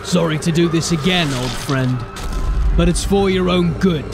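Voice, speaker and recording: An actor playing an earnest, dogged watch sergeant in a fantasy audio drama, deferential but insistent. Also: male voice, a little high, moderate pace, gravelly, over music. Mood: urgent.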